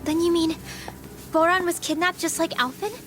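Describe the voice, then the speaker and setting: A woman's voice: very high-pitched, fairly even intonation, normal speed, fairly bright tone. An actress playing a feisty, outspoken young woman in a video game, speaking bluntly. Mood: incredulous. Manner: blunt, emphatic. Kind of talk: video game cutscene acting.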